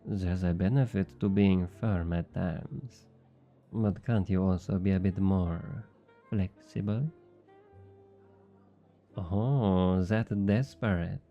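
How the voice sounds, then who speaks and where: An actor playing a smug, flirty thief with a French accent, teasing and coaxing in an intimate ASMR roleplay. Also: male voice, low-pitched, slow, long pauses, dull audio, faint music behind. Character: obnoxiously flirty, smug male thief and information broker.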